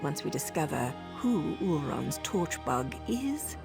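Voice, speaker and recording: An actress playing a poised professional assassin and mentor in a video game, with a wry, measured, self-assured delivery. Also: female voice, low voice, very animated, gravelly, over music, exaggerated voice. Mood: musing.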